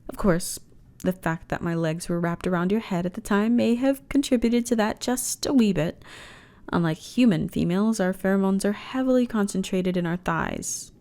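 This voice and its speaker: A woman's voice: mid-range voice, normal speed, natural voice. A woman playing a flirty, reassuring alien starship officer in an intimate ASMR roleplay, talking in a chatty, teasing way. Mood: amused, flirty. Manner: teasing, chatty, conversational with sighs and soft laughs.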